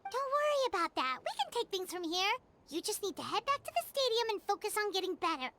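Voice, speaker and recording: Squeaky, very high childlike fairy companion. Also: female voice, very animated, fast, background noise, full character voice. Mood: reassuring. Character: small chirpy childlike female fairy companion, eager and caring. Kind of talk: video game dialogue acting. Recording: game music behind voice.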